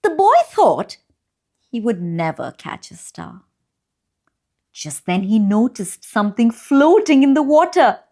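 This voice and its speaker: Slow, very animated woman storyteller. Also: fairly high pitch. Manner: expressive, deliberate phrasing with drawn-out pauses for young listeners. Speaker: children's storyteller and channel host. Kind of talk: read-aloud bedtime storytelling.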